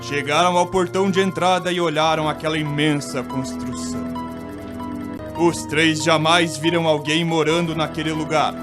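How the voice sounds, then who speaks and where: A man's voice: fairly high pitch, steady tone, moderate pace. A man with a Brazilian accent giving dramatic scripted narration of a ghost story. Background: music.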